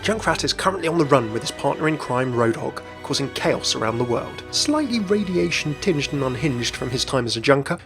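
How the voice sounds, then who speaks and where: A man's voice: medium pitch, a bit bright. A man presenting a gaming video in scripted explainer narration.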